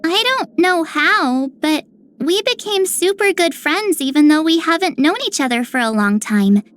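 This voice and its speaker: A woman's voice: high-pitched, fairly bright tone. A woman voicing a bubbly, affectionate little girl in a video game, childlike and friendly. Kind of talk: scripted game character lines.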